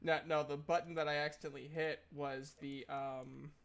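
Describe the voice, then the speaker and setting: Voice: medium pitch, level tone, normal speed. A game master hosting a livestream, chatting casually and recapping a tabletop game in an informal, sweary way. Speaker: game master and stream host.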